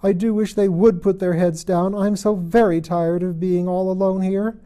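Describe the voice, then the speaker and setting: A bewildered little storybook girl, acted out by a man, pitched up high above his narration. The voice is prim and exclamatory, fretting and feeling sorry for herself as she chatters away. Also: steady tone, moderate pace, mellow, close to an everyday voice. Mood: tearful, stubborn. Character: bewildered young girl, chatty, fretful and self-pitying. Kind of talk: storybook character voice.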